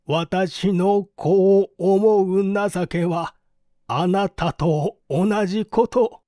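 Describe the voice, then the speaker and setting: A man's voice: high voice, mellow, no room sound, clean studio sound, full character voice. A man playing an earnest, insistent father making a formal plea for his son in an audiobook story.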